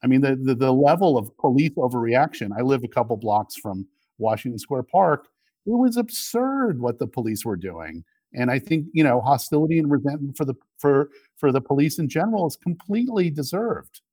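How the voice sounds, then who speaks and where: A man's voice: medium pitch, lively intonation, fairly quick, mellow, dry recording. Middle-aged man in dry-sounding political conversation. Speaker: interview guest.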